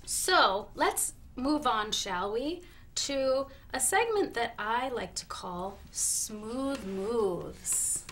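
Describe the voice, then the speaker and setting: A woman hosting a comedy talk show in a deadpan, mock-serious, polite manner. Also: a little high, drawn-out words, a little hiss or hum.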